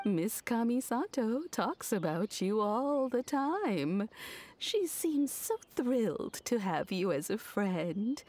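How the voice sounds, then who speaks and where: A woman voicing a kindly elderly servant woman in a video game, speaking gently and graciously. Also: a little high. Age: older. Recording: soft game music behind.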